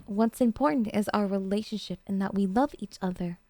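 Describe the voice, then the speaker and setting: A woman's voice: medium pitch, a little fast, a little mellow, no room sound, close to an everyday voice. A woman playing an obsessive, possessive yandere girlfriend in an intimate ASMR roleplay, talking in a coy, cutesy, hesitant way to her sweetheart. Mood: clingy adoring.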